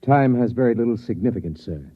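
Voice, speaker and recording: An actor playing a grave scientist in a 1950s radio drama, speaking in a formal, measured, solemn way. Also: male voice, mid-range voice, animated, dull audio, lightly acted. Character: grave scientist professor bearing news of doom. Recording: thin band-limited vintage radio recording.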